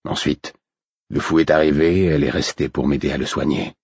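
A man's voice: extremely low pitch, steady tone, brisk, gravelly, slightly rough, no echo, muffled recording, full character voice. A man voicing a guarded, plain-spoken male healer in an audiobook, reticent and uneasy. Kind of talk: audiobook character acting.

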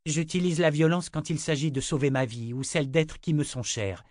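A man's voice: a little high, normal speed, darkish tone, dry recording, studio quality, pitched above the performer's own voice, lightly acted. A man reading an audiobook, voicing a proud, scornful young woman held prisoner, accusing her captor. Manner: cutting, accusing.

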